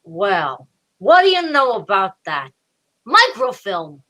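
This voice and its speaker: Woman playing a bright, lively, bossy male police detective. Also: medium pitch, slow pace, full character voice. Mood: curious. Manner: blustering, slangy tough-cop talk. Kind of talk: old-time detective radio drama.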